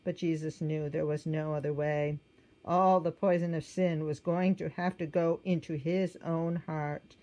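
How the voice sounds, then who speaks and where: Woman, low voice, storybook read-aloud, gentle, expressive. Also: low-quality recording. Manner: gentle, pausing to point at the pictures. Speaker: storybook reader.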